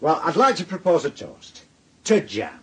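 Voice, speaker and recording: Awkward male friend: very breathy husky voice, lively pitch, apologetic, radio drama. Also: moderate pace, full character voice. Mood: awkward, placating. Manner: hesitant, apologetic. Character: mild, awkward, conciliatory male family friend. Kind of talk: naturalistic radio drama acting.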